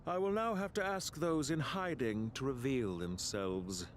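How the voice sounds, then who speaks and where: An actor playing a calm, courteous and condescending male mastermind villain in a video game, speaking in a measured, smug, polite way. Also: medium pitch, slight background noise, natural voice. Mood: cool, commanding. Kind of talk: video game character acting.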